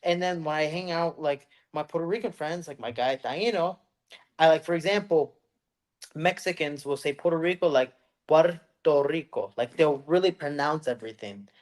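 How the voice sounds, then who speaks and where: Rambling, animated American man. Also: fairly high pitch, moderate pace. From United States. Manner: rambling, animated storytelling with put-on voices. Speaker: podcast co-host. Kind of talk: casual chat.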